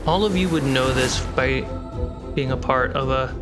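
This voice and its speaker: Game master over loud music. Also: medium pitch, moderate pace. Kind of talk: running a tabletop fantasy game. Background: loud cinematic score.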